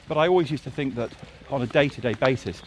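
A man's voice: mid-range voice, fast talker. Hesitant man chatting outdoors. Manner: thoughtful, hesitant, many restarts and fillers. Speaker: television drama writer. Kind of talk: casual conversation. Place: outdoors by a road. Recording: faint street noise with passing traffic.

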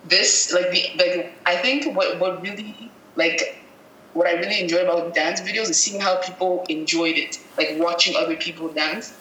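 A woman's voice: on the low side, steady tone, moderate pace, bright, forceful tone. A woman from Cameroon, a guest videographer, answering interview questions casually, thinking aloud and correcting herself as she goes. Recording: echoey room with faint noise.

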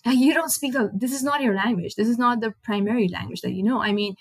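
A woman's voice: fairly high pitch, fast talker, breathy edge, no echo. Chatty young woman with Nepali accent. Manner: rambling, self-correcting, chatty. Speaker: podcast host. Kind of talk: casual solo podcast talk.

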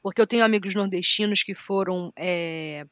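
A woman's voice: on the low side, dry recording. Thoughtful Brazilian woman, dry sound. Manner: thoughtful, measured. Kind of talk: casual chat.

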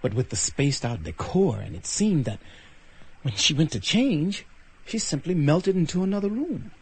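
A man's voice: a little high, very animated, normal speed, husky, exaggerated voice, rough recording. An actor playing a bewildered, anxious man who wryly recounts a strange adventure in a radio drama, stammering when flustered. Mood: mystified, recounting. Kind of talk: radio drama acting with first-person narration.

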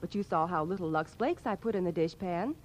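A woman's voice: on the low side, fairly quick, lightly acted, poor audio. A woman acting a cheerful, helpful housewife in a 1940s radio commercial. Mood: bright, helpful. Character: cheerful, helpful housewife praising her soap.

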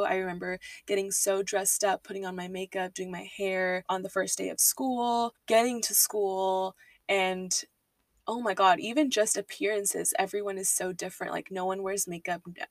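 A woman's voice: mid-range voice, little pitch movement, normal speed, dry recording, recorded from a distance. A woman in her twenties from Texas, hosting a podcast and candidly telling her life story with emotion. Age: 20s. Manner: candid, emotional, fillers and restarts. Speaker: podcast host. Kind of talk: personal storytelling monologue.

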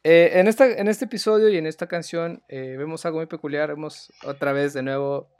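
Mexican dude cohosting, super slangy, says güey nonstop, kinda high voice. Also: male voice, steady tone, a little fast, a little mellow, smooth. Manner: slangy, says "güey" a lot, drops to a mutter. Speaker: podcast co-host. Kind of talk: casual chat reviewing a TV series.

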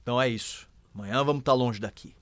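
A man's voice: medium pitch, fast talker, no room sound, lightly acted. An actor playing a determined, protective enslaved man in a radio drama, speaking firmly and colloquially. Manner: firm, colloquial. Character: determined enslaved man, protective older brother, escape leader.

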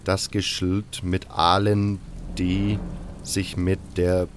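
A man's voice: low-pitched, level tone, takes it slowly, background noise. Man reading a bandit's menacing note. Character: illiterate bandit gang, threatening note.

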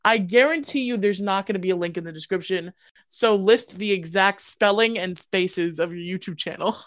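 A woman's voice: medium pitch, moderate pace, no room sound, studio quality. A woman hosting a casual podcast, playfully rambling through the outro plugs. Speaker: podcast host.

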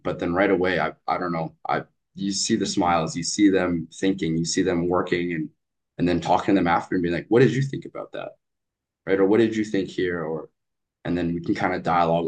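A man's voice: deep voice, a little mellow. A man from British Columbia, Canada, a basketball coach talking earnestly as a podcast guest, with frequent fillers and restarts. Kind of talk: casual podcast interview. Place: Vietnam.

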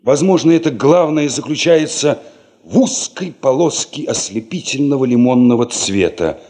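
Male narrator, Soviet radio play. Literary, thoughtful, measured delivery, mid-pitched and mellow. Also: lively intonation. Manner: measured, reflective.

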